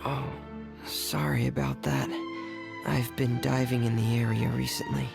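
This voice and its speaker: An actress playing a shy, gentle, earnest teenage boy in a video game, speaking quietly and hesitantly. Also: rumbling low, fairly even intonation, measured pace, vocal fry, over music, full character voice. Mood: shy, flustered. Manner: hesitant, quiet, soft-spoken.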